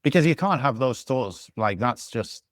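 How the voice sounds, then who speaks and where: A man chatting casually as a podcast guest, thinking aloud with halting restarts. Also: mid-range voice, expressive, no room sound, clean studio sound. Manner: thoughtful, halting, many restarts. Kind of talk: casual chat. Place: living in Algiers.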